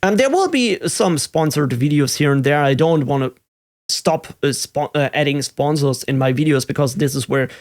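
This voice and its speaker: A man's voice: fairly high pitch, animated, moderate pace. German-accented man, candid and reflective. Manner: reflective, with fillers and restarts. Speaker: tech YouTuber. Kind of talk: candid personal vlog.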